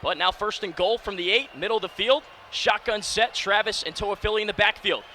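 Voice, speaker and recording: A man in his early twenties calling live football play-by-play on radio with energetic, clipped phrasing. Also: sits high, a little fast. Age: early 20s. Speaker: play-by-play announcer. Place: sports broadcast position inside a domed stadium. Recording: steady stadium noise behind. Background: stadium ambience.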